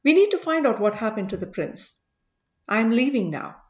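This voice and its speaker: An earnest, probing sage voiced by a woman narrator with a high voice and measured authority. The delivery is emphatic audiobook dialogue. The recording is muffled, with plenty of room echo. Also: sing-song. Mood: concerned, emphatic. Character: commanding male sage, earnest, probing, mildly excitable.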